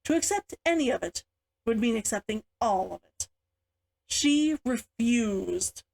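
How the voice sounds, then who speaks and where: A woman reading her own book aloud as an author, in an expressive audiobook style. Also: a little high, a little slow, vocal fry.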